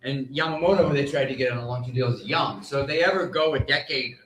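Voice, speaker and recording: Echoey male sports panelist, mid-pitched. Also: fast talker, a bit bright, slight background noise. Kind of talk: conversational sports analysis. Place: live on stage at a fan convention. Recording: echoey live stage sound.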